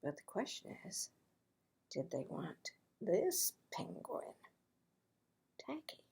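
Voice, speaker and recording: A woman reading a children's story aloud expressively, with a playful, dramatic delivery and pauses. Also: on the low side, sing-song, creaky, darkish tone, breathy edge, whispered. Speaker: storybook read-aloud host.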